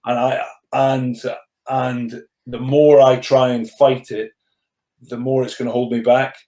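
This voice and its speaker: A man's voice: medium pitch, level tone, measured pace, mellow. A man in his thirties with a stammer he mostly keeps in check, telling his story earnestly and often repeating words as he restarts. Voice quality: stammer, mostly under control. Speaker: podcast guest, rugby player turned coach. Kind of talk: candid personal storytelling in conversation. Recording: echoey room.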